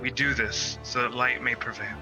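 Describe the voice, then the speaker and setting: A man takes part in a tabletop role-playing session, switching between player talk and in-character speech. His pitch is medium. Music is clearly heard behind him. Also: steady tone, normal speed, rough recording. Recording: prominent music under the voice.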